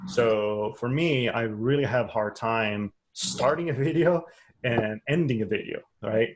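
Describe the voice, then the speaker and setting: A man's voice: mid-range voice, measured pace. A man, not a native English speaker, teaching a webinar lecture in a conversational way with frequent restarts and repeated words. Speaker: course instructor.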